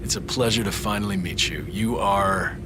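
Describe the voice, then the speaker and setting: A man's voice: fairly low pitch, moderate pace, over music, lightly acted. A man playing a cocky, talkative agent and killer in a game, with a smug, theatrical manner. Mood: taunting. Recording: clear background noise.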